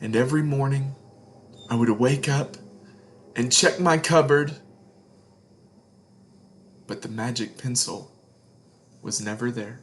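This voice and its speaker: A man reading a picture book aloud to children as a read-along host, with deliberate, emphatic phrasing. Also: medium pitch, a little hiss or hum. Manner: deliberate phrasing, emphatic, explains words to young listeners.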